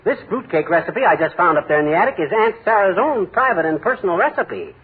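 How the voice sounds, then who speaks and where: An actor playing a boastful, scheming middle-aged husband who blusters in folksy slang, in a 1940s radio comedy. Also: male voice, high-pitched, big pitch swings, fast, husky, narrow, tinny audio, heavily acted. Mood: blustering. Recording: scratchy 1940s radio broadcast, hiss.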